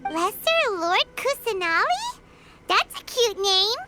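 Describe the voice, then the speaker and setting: A woman's voice: slow pace, exaggerated voice. A woman voicing a tiny, childlike fairy companion in a video game, with an eager, naive, cutesy way of talking. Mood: excited, surprised. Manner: squeaky, excitable, cutesy. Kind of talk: scripted video game character dialogue. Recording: loud background music under the voice.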